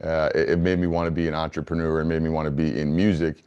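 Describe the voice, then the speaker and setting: Low voiced guy being interviewed, smooth and pretty flat, earnest, chatting thru his answers. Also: fast, lo-fi sound. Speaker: interview guest, business founder.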